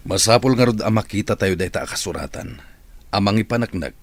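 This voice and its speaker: A man playing a sober, practical adviser with a husky voice in an Ilocano radio drama. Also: lowish voice, lively intonation, a little fast. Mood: measured, advising. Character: sober, practical male adviser and trusted friend.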